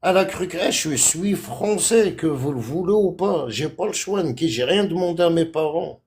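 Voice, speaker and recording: A man's voice: a little high, expressive, normal speed, a little mellow, husky. A man from France talking on a phone live stream, indignant and repetitive, dropping Arabic words into his speech. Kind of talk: heated casual live-stream rant.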